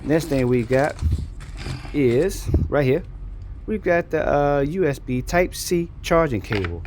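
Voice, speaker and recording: A man's voice: fairly high pitch, animated, takes it slowly, background noise. A man giving a casual, folksy product walkthrough as a reviewer in an unboxing video.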